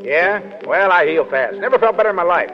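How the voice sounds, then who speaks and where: Brash, fast-talking radio legionnaire. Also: male voice, high voice, animated. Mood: guarded, casual. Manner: brusque, offhand. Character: brash, cocky young legionnaire hiding his love. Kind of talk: 1930s radio acting. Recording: muffled 1930s radio recording with music.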